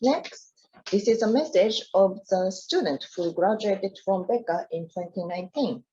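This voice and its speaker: A woman's voice: medium pitch, measured pace, warm tone. A woman from the university giving a polite, scripted presentation to prospective students. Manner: polite, formal, reading from slides. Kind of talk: scripted presentation for a study fair. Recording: dull lo-fi sound.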